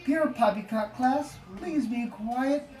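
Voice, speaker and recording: A woman's voice: a little high, slow, darkish tone, faint music behind. A woman voicing a stern, scornful, exasperated male schoolteacher from a storybook, with a sneering, scolding delivery. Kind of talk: storybook character reading. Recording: echoey, distant, faint background noise.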